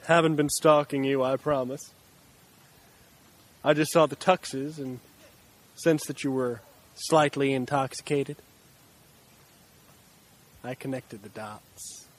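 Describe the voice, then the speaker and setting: Eerie, courteous male stranger played by an actor, unhurried and insinuating, audio drama. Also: mid-range voice, fairly even intonation, lightly acted. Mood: sinister politeness. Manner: slow, polite, insinuating. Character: eerie, courteous, smooth-talking stranger man in the night. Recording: faint background noise.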